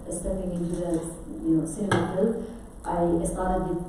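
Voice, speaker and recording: A woman's voice: lowish voice, level tone, normal speed, warm tone, slight background noise. Distant woman in an echoey hall. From Afghanistan. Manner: thoughtful, speaks with frequent restarts and fillers. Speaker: film director, guest speaker. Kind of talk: masterclass talk. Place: large auditorium.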